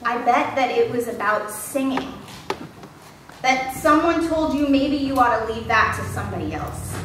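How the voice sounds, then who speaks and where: A woman's voice: fairly high pitch, expressive, moderate pace, noisy background. A woman pastor giving a sermon in a church, talking in a candid, self-deprecating storytelling way. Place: church sanctuary. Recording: very echoey church from a distance.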